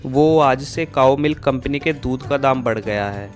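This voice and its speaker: A man's voice: medium pitch, measured pace, natural voice. A man playing an honest, polite milkman in a Hindi moral-story cartoon, speaking earnestly and deferentially. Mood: apologetic. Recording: music behind the voice.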